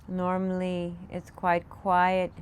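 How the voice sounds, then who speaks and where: A woman meditation teacher leading a guided meditation, giving gentle, unscripted instructions with pauses. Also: on the low side, completely flat delivery. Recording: clear background noise, room ambience. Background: room ambience, children in the background.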